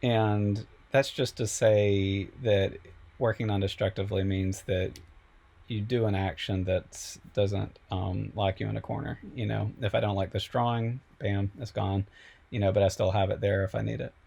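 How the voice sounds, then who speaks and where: Man, professor, screen-recorded tutorial, low voice, gravelly, a bit sick and hoarse, relaxed and full of ums. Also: normal speed. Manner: relaxed, explanatory, many fillers. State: slightly sick, losing voice.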